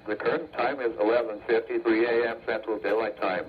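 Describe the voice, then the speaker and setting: Robot-made man voice reading the forecast on weather radio, measured phrases, kinda dull lo-fi sound. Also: medium pitch, fairly even intonation, slight background noise. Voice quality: synthetic text-to-speech voice. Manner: measured, formulaic, segmented phrases. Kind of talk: automated weather bulletin reading. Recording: muffled weather radio broadcast.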